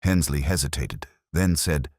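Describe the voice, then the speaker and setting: A man voicing an ageing, melancholy detective, narrating a literary radio drama in a measured, reflective, solemn manner. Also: a bass voice, a little mellow, husky, dry recording, studio quality, exaggerated voice. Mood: brooding, reflective. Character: ageing, melancholy male detective, wry and brooding.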